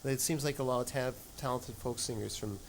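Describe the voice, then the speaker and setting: A male co-host in a casual chat who mostly agrees in quick asides and now and then asks a question. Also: mid-range voice, over a noisy crowd. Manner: brief agreeing interjections, laughs along.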